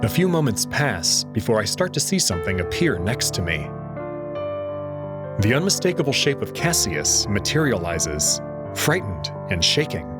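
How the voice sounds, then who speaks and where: A man's voice: lowish voice, very animated, moderate pace. A man reading a visual novel as dramatic first-person scripted narration. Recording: dry close voice over faint music.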